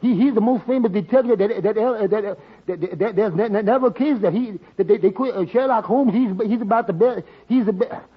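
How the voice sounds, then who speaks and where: A timid, muddled sidekick from a vintage radio sitcom. He speaks high and stammers heavily, repeating words and losing his way. The recording is dull and old, with little top end. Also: a little fast, hardly any pauses, husky. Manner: heavy comic stammer, repeats and loses words. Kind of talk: golden age radio sitcom acting.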